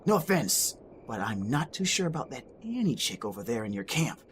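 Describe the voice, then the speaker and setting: A man playing a friendly, excitable young man in an amateur audio drama, talking in casual slang. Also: medium pitch, fairly quick, slight background noise. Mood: candid.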